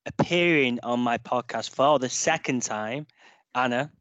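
A man hosting a panel podcast, introducing guests and guiding the talk in a relaxed way. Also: medium pitch, measured pace, dry recording. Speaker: podcast host.

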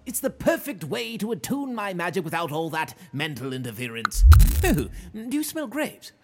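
An actor playing an eccentric, reckless wizard mentor who laughs often and speaks grandly. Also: male voice, high voice, very animated, moderate pace, music in the background. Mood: gleeful. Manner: theatrical, giggly, grandiose. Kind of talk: fantasy audio drama acting.

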